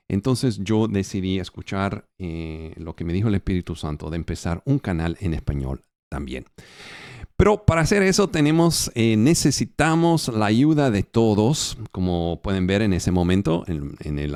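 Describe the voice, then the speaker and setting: A man preaching a sermon in a language that is not his first, halting, with fillers and restarts. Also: low-pitched, a little mellow, smooth, no echo, clean studio sound. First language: not Spanish. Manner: halting, with fillers and restarts as a non-native speaker.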